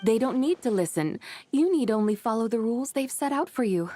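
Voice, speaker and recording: A woman playing a composed, wry immortal woman who speaks formally and measuredly, gently teasing, in an anime game. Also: a little high, animated, brisk, faint music behind, close to an everyday voice. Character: composed, wry female immortal adeptus with sly wisdom.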